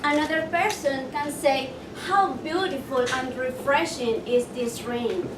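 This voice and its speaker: A woman from Ecuador, presenting a motivational workshop and asking her audience questions. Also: high-pitched. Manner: slow and deliberate, asks the audience questions. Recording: echoey room, mic far off, noisy.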